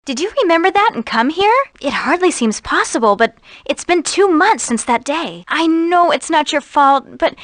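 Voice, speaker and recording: High-pitched sweet earnest young lady, video game heroine, dry close recording. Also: animated, normal speed, fairly bright tone, no echo. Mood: gentle concern. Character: earnest, caring young woman, dutiful daughter of a leader.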